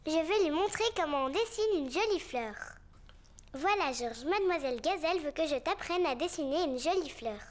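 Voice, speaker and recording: A woman voicing a lively, bossy little girl pig in a French-dubbed preschool cartoon. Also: high voice, normal speed, slight background noise. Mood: bossy, proud. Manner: eager, know-it-all, exclaiming. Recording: cartoon music behind the voice.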